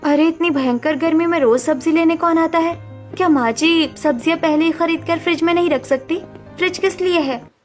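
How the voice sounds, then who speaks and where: A woman's voice: very high voice, moderate pace, faint music behind, voice pushed up. A woman voicing a pampered young daughter-in-law who complains and pleads in a raised, whiny voice. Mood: complaining.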